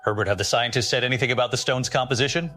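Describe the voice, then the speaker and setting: Radio drama news anchor, played by a man: quick, bright delivery, composed and formal. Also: medium pitch, soft background music. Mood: composed, inquiring. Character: brisk, polished male television news anchor. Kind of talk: scripted broadcast news reading in audio drama.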